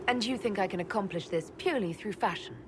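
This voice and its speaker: An actress voicing a composed, diplomatic elf mage leader in a video game, with measured, polite, cool delivery. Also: female voice, mid-range voice, slight background noise, natural voice.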